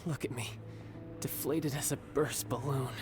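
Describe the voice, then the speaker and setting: An actor playing a cocky, breezy young warrior and devoted big brother in a video game. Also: male voice, mid-range voice, fairly even intonation, a little slow, darkish tone, soft background music, natural voice. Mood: weary, wry. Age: young adult. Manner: breezy, self-assured.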